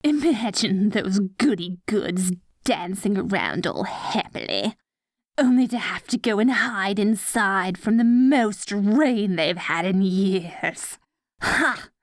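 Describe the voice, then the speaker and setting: A woman's voice: mid-range voice, animated, takes it slowly, no echo, clean studio sound. A woman playing an adorkable evil sorceress queen in a scripted roleplay audio, petulant and gleefully wicked, sliding from theatrical gloating into casual chatter. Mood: scheming, gloating.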